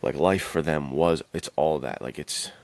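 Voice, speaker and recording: Very deep-voiced man in casual conversation. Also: normal speed, creaky, darkish tone. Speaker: podcast host, adventure fiction author. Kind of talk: casual chat about writing and self-discipline.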